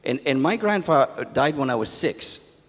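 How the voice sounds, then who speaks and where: Older guy on stage telling personal stories, mid pitch, big hall reverb. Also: animated, normal speed, a bit bright. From born in Athens, Greece, moved to America as a child. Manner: conversational storytelling in short phrases. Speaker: conference speaker, positive psychologist. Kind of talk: motivational talk with personal storytelling. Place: theatre stage. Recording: echoey hall, long reverb.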